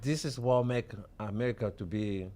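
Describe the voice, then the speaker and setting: A man speaking as a guest on a talk show, halting and hesitant, with many fillers and non-native grammar. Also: mid-range voice, darkish tone. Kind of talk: casual talk show chat.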